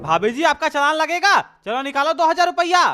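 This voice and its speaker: A man's voice: extremely high pitch, lively intonation, a little fast, music in the background. A man voicing an officious, impatient train ticket inspector in a cartoon, giving curt, commanding orders. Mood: stern. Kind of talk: exaggerated cartoon dialogue.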